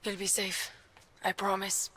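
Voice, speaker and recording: A woman's voice: mid-range voice, completely flat delivery, measured pace, pushed and bright, breathy edge. A woman playing a tough, protective young guerrilla in a game cutscene, speaking bluntly and urgently. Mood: firm. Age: young adult. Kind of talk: dramatic video game cutscene acting.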